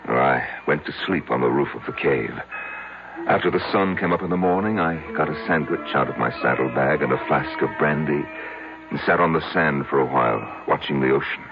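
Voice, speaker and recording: A haunted man confessing to his psychiatrist in a radio drama. His voice is extremely low, brooding and halting. The old broadcast sounds muffled, with music clearly behind him. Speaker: narrator of the story.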